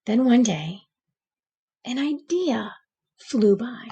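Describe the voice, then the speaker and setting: A woman voicing a gentle, anxious but persevering egg who narrates a picture book, with deliberate, expressive pauses. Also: on the high side, muffled recording, a little hiss or hum. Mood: hopeful. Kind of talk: picture book read-aloud.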